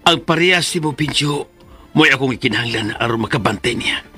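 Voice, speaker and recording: Worried protective parent, grave and urgent, forceful voice, radio drama w soft music. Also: male voice, mid-range voice, lively intonation, a little fast. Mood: worried, pleading. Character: worried protective parent, fearful of bad omens.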